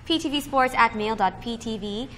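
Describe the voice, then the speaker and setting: A woman hosting a sports newscast in a studio, moving briskly between scripted links and easy banter. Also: on the high side, sharp tone, slight background noise.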